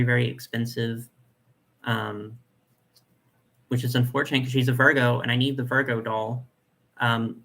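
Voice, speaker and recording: A young man with a nasal voice hosting a casual live chat, reading comments and talking in a chatty, soft way with lots of fillers. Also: medium pitch. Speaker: doll collector channel host.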